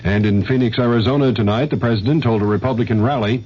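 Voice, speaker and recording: A man's voice: mid-range voice, fast, a little mellow, a little hiss or hum. A man anchoring a scripted radio newscast with formal announcer delivery. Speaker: network radio news anchor. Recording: dull old radio recording.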